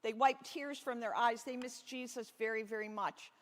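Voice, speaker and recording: A woman with a fairly high voice speaks as a pastor telling children a Bible story. Her delivery is gentle and expressive, with deliberate emphasis. The sound rings with the long reverb of a large hall. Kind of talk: children's sermon, reading a Bible story aloud. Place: large reverberant church space.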